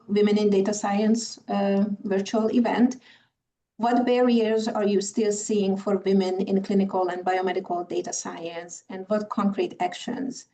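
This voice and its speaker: Gravelly Hungarian-accented woman moderator. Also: mid-range voice, warm tone, far from the mic, low-quality recording. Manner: apologetic, hesitant, many fillers. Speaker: conference moderator. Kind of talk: moderating a virtual conference. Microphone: video call.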